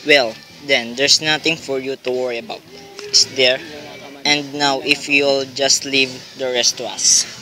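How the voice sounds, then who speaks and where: A man's voice: medium pitch, little pitch movement, unhurried, sharp tone, faint music behind. A man playing a dismissive, patronizing police sergeant in a radio play, condescending and placating. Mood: impatient.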